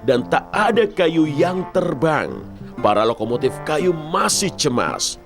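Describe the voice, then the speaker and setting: Male narrator, children's cartoon, dramatic storytelling, slow pace, lively intonation, loud music behind. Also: a little high. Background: loud music, vehicle sounds.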